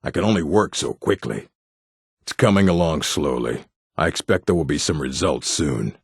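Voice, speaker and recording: A man voicing a gruff, blunt, weary orc with a gravelly, husky voice for a video game. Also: a bass voice, expressive, normal speed, no echo, clean studio sound, heavily acted. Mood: weary, guarded. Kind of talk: video game character acting.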